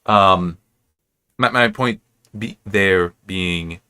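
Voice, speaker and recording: An American man giving casual, unscripted commentary as a reviewer, halting and correcting himself as he rambles. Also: deep voice, bright, forceful tone, clean tone, dry recording. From United States. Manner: halting, self-correcting, restarts and digresses often. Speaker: reviewer hosting an episode-by-episode analysis.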